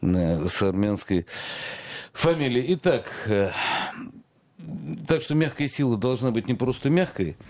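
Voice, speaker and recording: A man hosting a broadcast interview, leading the talk briskly with rhetorical remarks. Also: medium pitch, expressive, a little mellow, no echo. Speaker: host.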